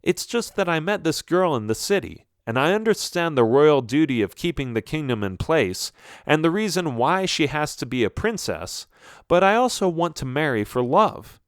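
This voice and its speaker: A man's voice: on the high side, sing-song, moderate pace, dry recording, clean studio sound, pitched above the performer's own voice. A man voicing an earnest, lovestruck young prince in a storybook, sincere and hesitant. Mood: hesitant, pleading.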